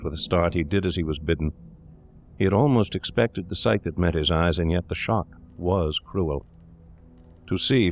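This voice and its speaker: Deep-voiced man narrating a gothic tale. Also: slight background noise. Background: eerie ambience.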